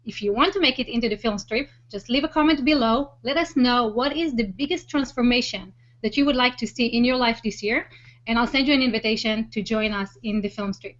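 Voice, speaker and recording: High-voiced woman hosting a web show. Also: fairly quick, fairly bright tone. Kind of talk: enthusiastic hosted introduction and interview. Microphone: online video hangout call. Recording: dull, lo-fi web call audio.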